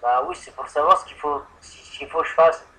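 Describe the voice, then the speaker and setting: A man with a bright, fairly high voice talks over a telephone line. He sounds like a muddled customer, rambling and hesitating as he goes through an improvised prank call. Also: fairly even intonation, a little fast. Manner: hesitant, rambling, playing confused. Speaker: prank caller posing as an insurance customer.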